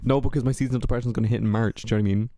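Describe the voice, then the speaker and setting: A man's voice: medium pitch, fairly even intonation, very fast, dark tone, no room sound, clean studio sound. A man in his twenties co-hosting a podcast, chatting casually in a rambling, jokey way with plenty of swearing. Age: 20s. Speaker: podcast co-host. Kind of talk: casual chat.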